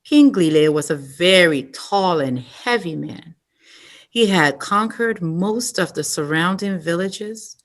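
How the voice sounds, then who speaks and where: A woman reading a story aloud at story time, slow and careful. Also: fairly low pitch, expressive. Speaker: storytime reader. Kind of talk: reading a picture book aloud.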